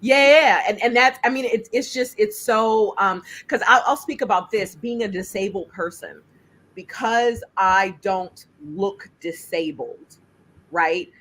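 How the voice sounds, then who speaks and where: A woman filmmaker with a deep voice and lots of bass, speaking emphatically in a panel discussion over a video call. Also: slow pace. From United States. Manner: emphatic, animated, laughs while speaking. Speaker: filmmaker and juror.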